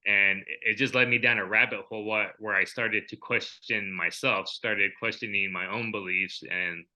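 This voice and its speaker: A man's voice: lowish voice, fairly even intonation, moderate pace, sharp tone, poor audio. A young adult man co-hosting a podcast, talking in a reflective, rambling way with many fillers. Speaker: podcast co-host. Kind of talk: casual chat.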